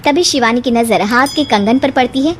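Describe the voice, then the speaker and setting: A woman's voice: on the high side, brisk, a little hiss or hum. A woman storyteller reading a scripted moral story.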